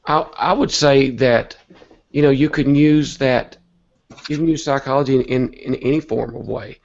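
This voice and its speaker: A man with a cold and a hoarse voice, talking earnestly and a bit rambling as an interview guest. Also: medium pitch, steady tone, normal speed, darkish tone. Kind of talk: casual online interview talk. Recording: dull lo-fi web call audio.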